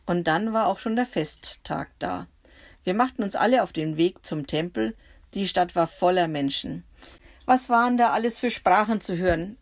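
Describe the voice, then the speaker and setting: Gravelly woman telling a bible story. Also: medium pitch. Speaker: storyteller narrating in first person. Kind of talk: bible story narration for children.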